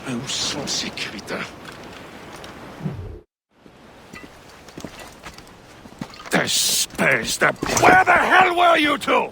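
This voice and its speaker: An actor playing a pompous, hot-tempered French captain in a video game, scolding in a French accent. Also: male voice, very high-pitched, wildly swooping pitch, slow pace, gravelly, pushed and bright, slight background noise, heavily acted. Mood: angry. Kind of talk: video game cutscene acting.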